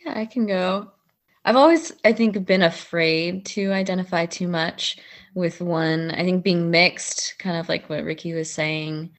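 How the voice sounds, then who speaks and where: A young woman speaking as a panel guest in a casual, reflective discussion, thoughtful and a little hesitant. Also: on the low side. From United States. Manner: thoughtful, hesitant, many "I think"s.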